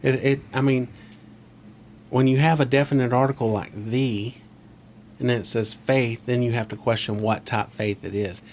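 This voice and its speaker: A man's voice: mid-range voice, fairly even intonation, normal speed, darkish tone. Podcast host, male, scratchy voice, close-miked, opinionated and rambling. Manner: opinionated, rambling, asks leading questions. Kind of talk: casual chat. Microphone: close desk mic on a boom arm.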